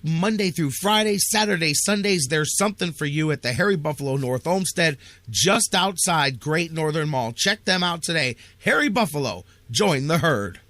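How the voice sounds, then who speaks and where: A man's voice: fairly high pitch, a bit bright, dry recording. An American man hosting sports talk radio, reading promos with an emphatic, salesman-like delivery. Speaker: sports talk show host.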